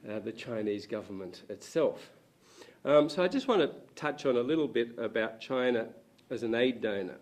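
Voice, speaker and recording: Hoarse man giving a talk. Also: fairly low pitch, a little mellow. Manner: hesitant, frequent ums and restarts. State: hoarse, croaky voice. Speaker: panel speaker, academic. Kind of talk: public lecture talk. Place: university lecture room.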